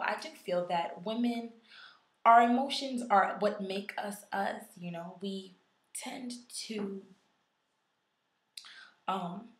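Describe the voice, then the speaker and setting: A woman speaks to her viewers in a hoarse voice that is fading from illness. She muses through her thoughts with frequent ums, at a middle pitch. The room around her rings with a clear echo. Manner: conversational, reflective, with frequent ums. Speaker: YouTuber. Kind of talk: casual video response vlog.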